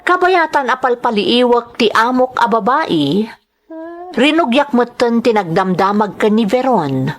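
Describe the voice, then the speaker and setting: A woman's voice: on the high side, lively intonation, measured pace. A woman with a Filipino accent giving scripted, dramatic story narration.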